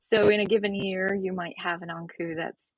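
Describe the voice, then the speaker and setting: A woman guest on a casual podcast interview, speaking with a hoarse, froggy voice and a gravelly growl, explaining at length and often restarting phrases. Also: mid-range voice, fairly even intonation, normal speed, dry recording, poor audio. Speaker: author guest.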